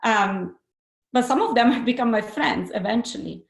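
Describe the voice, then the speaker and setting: A woman from Italy with an Italian accent, a webinar panelist answering candidly with self-deprecating, halting restarts. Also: fairly high pitch, expressive, creaky, echoey room.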